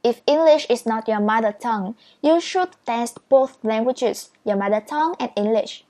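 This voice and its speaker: A woman's voice: fairly high pitch, normal speed, warm tone. A woman whose first language is Vietnamese, speaking English as she teaches speed reading step by step in a patient, encouraging manner. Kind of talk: step-by-step tutorial.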